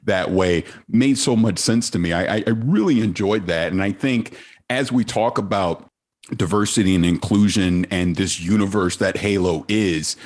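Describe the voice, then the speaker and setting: Very deep-voiced man, earnest panelist. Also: moderate pace. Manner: earnest, animated when he quotes himself. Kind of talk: casual panel discussion.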